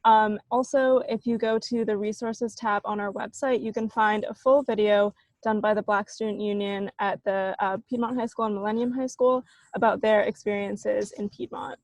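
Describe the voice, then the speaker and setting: A woman's voice: medium pitch, little pitch movement, moderate pace, a little mellow, no room sound. A woman hosting an online event, introducing speakers in a friendly, loose way. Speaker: event host.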